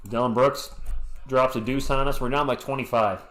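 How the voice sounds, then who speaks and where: A man's voice: mid-range voice, fairly even intonation, moderate pace, a bit bright. Chatty play-by-play man, roomy echo. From Western Massachusetts. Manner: chatty, answers chat messages with joking asides. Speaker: sports stream host. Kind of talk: live play-by-play commentary.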